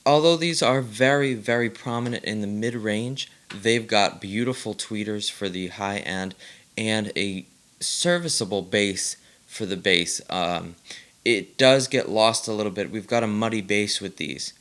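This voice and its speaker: A man's voice: fairly low pitch, measured pace, fairly bright tone. A man hosting a casual, unscripted review, talking enthusiastically and often repeating and rephrasing his points. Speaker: vlog host reviewing audio gear.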